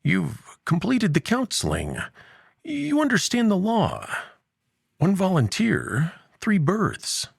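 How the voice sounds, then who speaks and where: A jovial, very old doctor from a science fiction story, acted by a male narrator. He pronounces things grandly and genially, in a lifted, very animated voice with a dry, close sound. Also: high voice, slow pace, vocal fry, breathy edge, no room sound, pitched above the performer's own voice, exaggerated voice. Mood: warmly congratulatory.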